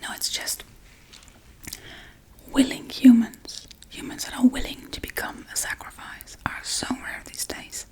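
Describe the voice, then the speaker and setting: A woman playing a quirky, politely menacing Halloween witch in a breathy, soft-spoken ASMR role-play. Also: natural voice, rough recording. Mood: matter-of-fact, chatty. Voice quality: breathy, mostly whispered. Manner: unhurried, chatty, politely menacing. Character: quirky Halloween witch casually preparing a human sacrifice.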